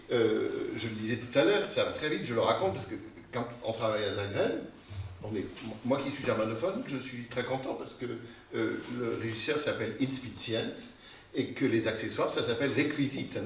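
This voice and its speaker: A man's voice: mid-range voice, slight background noise. A man on a panel discussing theatre, conversational, with asides and fillers. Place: theatre hall. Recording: roomy hall mic from a distance.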